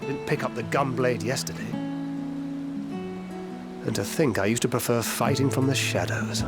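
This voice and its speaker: A man voicing a wry, loyal fighter in a fantasy game, conversational and unhurried. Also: medium pitch, lightly acted. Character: wry, loyal male gunblade fighter, easygoing yet determined. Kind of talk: dramatic fantasy game cutscene acting. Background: music.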